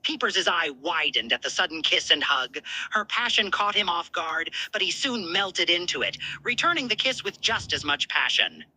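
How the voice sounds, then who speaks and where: Very bright, animated woman narrator. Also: on the low side, normal speed, slightly rough, poor audio. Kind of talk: scripted story narration.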